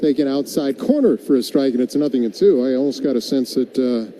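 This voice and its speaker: A man's voice: medium pitch, brisk. A man calling live baseball play-by-play on radio, slipping into casual banter with his partner. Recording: muffled broadcast with steady background noise.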